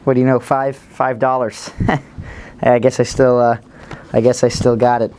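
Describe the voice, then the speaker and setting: A man playing a boastful lottery scratcher regular who talks in a halting, chuckling way. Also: mid-range voice, level tone, a little mellow, a little hiss or hum, lightly acted.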